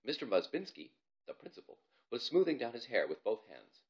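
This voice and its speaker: Very animated man reading a children's story. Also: mid-range voice, a little fast. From Canada. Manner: theatrical, comic storytelling. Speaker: author reading his own book.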